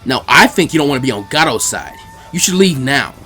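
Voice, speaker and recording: A brash young boy ninja, acted out by a male reader for an anime fan story. He is cheeky and determined, with a quick, very animated delivery and faint music behind him. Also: medium pitch. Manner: cheeky, defiant.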